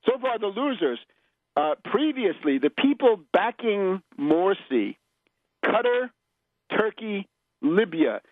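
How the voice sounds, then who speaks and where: A male radio host giving unscripted political commentary in an emphatic, opinionated manner, stressing his phrases deliberately. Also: high-pitched, sing-song, no room sound, narrow, tinny audio.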